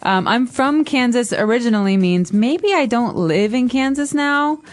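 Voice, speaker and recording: A woman's voice: fairly high pitch, expressive, moderate pace. A woman from Chicago co-hosting a podcast lesson, explaining English expressions emphatically. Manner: clear, emphatic teacher. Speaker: podcast co-host.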